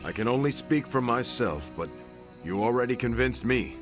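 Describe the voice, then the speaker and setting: A man voicing a steadfast, noble soldier-hero in a game, calm, sincere and encouraging. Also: mid-range voice, normal speed, over music, close to an everyday voice. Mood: earnest, steady.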